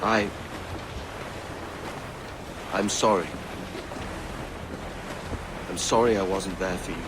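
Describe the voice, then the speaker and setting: Sea captain father, game cutscene: measured, tender, regretful, lower register, clear ocean noise. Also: fairly even intonation. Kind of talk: naturalistic video game cutscene acting. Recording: noisy wind and waves on ship.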